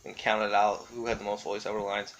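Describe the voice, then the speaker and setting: A man's voice: lowish voice, completely flat delivery, a little fast, a bit bright, dull audio. A man giving casual, rambling solo commentary as a vlogger, thinking aloud and often qualifying what he says in a tentative way. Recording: clearly audible background noise.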